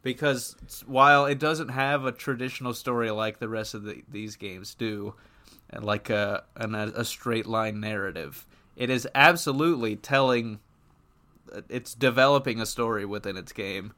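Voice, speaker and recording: A man co-hosting a gaming podcast, chatting casually in an opinionated, halting way as he rephrases his thoughts. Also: medium pitch, sharp tone. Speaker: podcast co-host.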